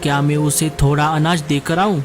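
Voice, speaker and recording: A man's voice: a little high, steady tone, moderate pace, over music, close to an everyday voice. A man voicing a caring, earnest crow father and provider in a Hindi cartoon. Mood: concerned. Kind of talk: Hindi animated moral-story voice acting.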